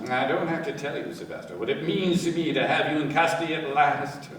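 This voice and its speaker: An actor playing a composed police inspector, speaking formally with dry irony in a radio crime drama. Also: male voice, fairly high pitch, fairly bright tone, lightly acted. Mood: dryly triumphant. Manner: measured, formal, dryly ironic. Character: composed, dryly triumphant male police inspector. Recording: very echoey, distant, faint noise.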